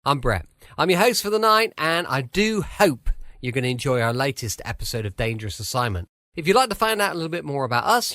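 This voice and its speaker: A man's voice: fairly high pitch, sharp tone, no echo, clean studio sound. A British man hosting a late-night radio show, introducing it in a chatty, welcoming, conversational way. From United Kingdom.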